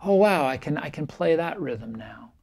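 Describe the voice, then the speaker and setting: A middle-aged man, a meditation teacher, giving a calm, reflective keynote talk to camera in a small, bright room. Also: fairly high pitch, normal speed, gravelly, breathy edge. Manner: calm, reflective, gently emphatic. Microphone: camera framed at chest height, facing the speaker.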